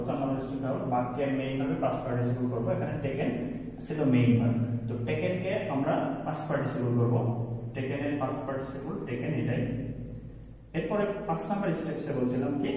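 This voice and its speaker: A Bangladeshi man gives an instructional lesson in a heavily reverberant room, picked up from a distance. He talks quickly in a mellow tone. He explains step by step, often repeating himself and posing questions he answers. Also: medium pitch. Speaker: English grammar teacher. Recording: very echoey room, distant microphone.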